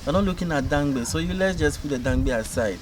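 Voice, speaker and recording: A man with a Ghanaian accent hosting a casual on-camera chat, energetic and loud as he quizzes his guest. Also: on the high side, brisk, over loud music. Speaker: show host.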